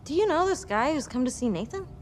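A woman playing an upset, defiant teenage girl in a video game drama, speaking in an emotional, halting way. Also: high-pitched, big pitch swings, slight background noise. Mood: uneasy. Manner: emotional, halting, pleading and protesting. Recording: music under the voice.

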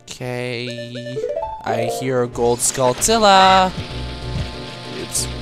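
A man with a cold narrates his gameplay in an unhurried, drawn-out way. His pitch leaps around with animated, exclamatory reactions. Game music plays clearly under his desk-mic recording. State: has a cold. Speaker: Let's Play gamer. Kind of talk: casual gameplay commentary.

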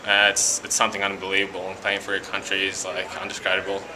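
A young man from Latvia with a Latvian accent, a college hockey player giving a halting post-game interview full of fillers and restarts in an arena hallway. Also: sits low, monotone, pushed and bright, slight background noise, poor audio. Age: young adult.

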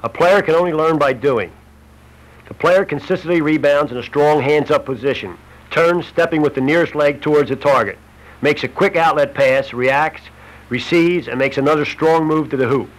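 A man's voice: fairly high pitch, moderate pace. A man reading scripted narration for an instructional basketball film, as a shooting instructor. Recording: noisy old Super 8 film soundtrack.

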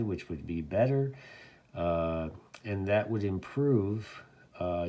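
A man casually demonstrating as a radio hobbyist, talking in a halting, rambling, easygoing way. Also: deep voice, warm tone. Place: indoors at a radio desk.

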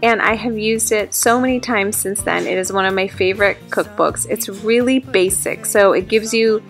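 A chatty, upbeat woman in her thirties talks straight to her viewers about her home and family. Her mid-range voice has a gravelly, fry-tinged edge, and faint music plays underneath. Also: mid-range voice, moderate pace. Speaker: home vlogger. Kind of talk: casual vlog narration. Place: family home, bedrooms and kitchen.

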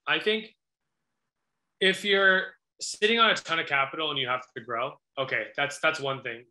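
Bright, sharp-voiced American man, echoey room. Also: a little high. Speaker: guest restaurateur. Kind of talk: conversational Q&A answers. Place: small indoor office. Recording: echoey room on video call.

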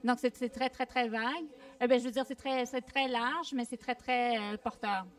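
A woman's voice: a little high, steady tone, normal speed, distant mic. A woman thinking aloud and hesitating as she answers vox pop questions on a handheld mic. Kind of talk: casual street interview. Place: community workshop space indoors.

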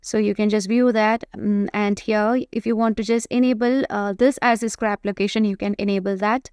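A woman giving explanatory software tutorial narration, speaking in a halting, semi-improvised way. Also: mid-range voice, fairly even intonation, clear, resonant tone, no room sound, clean studio sound. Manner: explanatory, halting delivery with frequent hesitations.

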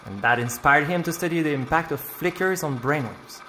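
An actor playing an obliging art museum worker in an audio drama, explaining things informally and a little hesitantly in naturalistic dialogue. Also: male voice, fairly high pitch, expressive, brisk, sharp tone, slight background noise. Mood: informative.

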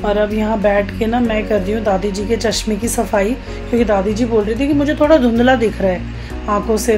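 A woman in her thirties from Uttarakhand, India, narrating a casual vlog in a chatty way, talking directly to viewers. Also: fairly high pitch. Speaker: travel vlogger.

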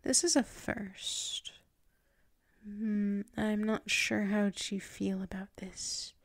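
A woman playing a flustered, submissive tsundere werewolf in an intimate ASMR roleplay, halting and murmuring, often slipping into whispers close to the mic. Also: medium pitch, little pitch movement, vocal fry, dry recording. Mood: hesitant, intimate. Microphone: close microphone.

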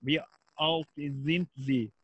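A man's voice: a little high, fairly even intonation, drawn-out words, muffled recording. A man with a Turkish accent, a language student hesitantly practising a dialogue word by word. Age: 40s. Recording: noisy online call with clicks.

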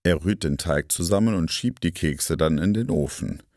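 A man reading a scripted story for language learners with careful, clear diction. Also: sits low, moderate pace, a little mellow, dry recording, clean studio sound. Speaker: narrator of stories for language learners.

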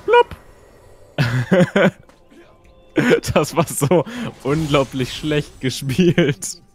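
A man's voice: a little high, extremely slow, faint music behind. A young man chatting casually and teasingly with a friend while playing a video game. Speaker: gamer, video commentator. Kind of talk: casual gaming chat with a friend.

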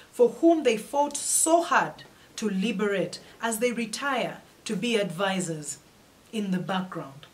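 Young adult Ugandan woman, campaign address; formal, measured, declamatory delivery. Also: medium pitch, rough recording. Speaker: political candidate. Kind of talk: prepared campaign speech. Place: indoors, in front of flags. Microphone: fixed camera, head and shoulders.

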